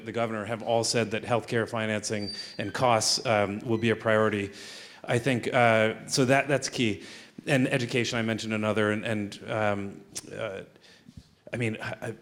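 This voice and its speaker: Earnest man answering on a panel. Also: mid-range voice. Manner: earnest, many ums and restarts. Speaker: legislator on a panel.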